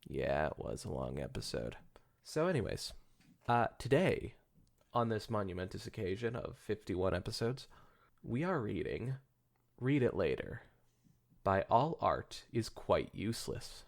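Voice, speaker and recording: A man's voice: lowish voice, very animated, a little slow, creaky. A man hosting a casual comedy podcast, joking and rambling with some swearing. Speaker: podcast host.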